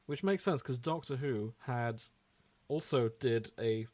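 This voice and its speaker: A man's voice: medium pitch, a little slow, a little mellow, no echo. A man co-hosting a podcast in casual comedic chat, joking and giggly. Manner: jokey, giggly. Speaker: podcast co-host.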